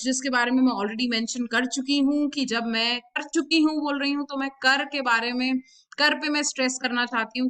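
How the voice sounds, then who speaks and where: A woman's voice: high voice, pushed and bright. An Indian woman giving instructional coaching straight to viewers, emphatic and encouraging, repeating key advice as she goes. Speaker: body language and communication coach. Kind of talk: instructional talk to viewers, mixing Hindi and English.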